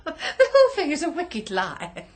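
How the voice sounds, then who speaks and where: High, swooping, aggrieved genteel companion. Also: female voice, wildly swooping pitch, normal speed. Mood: indignant denial. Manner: indignant, genteel, emphatic. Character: aggrieved, genteel lady's companion, bitter and defensive. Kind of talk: radio drama acting.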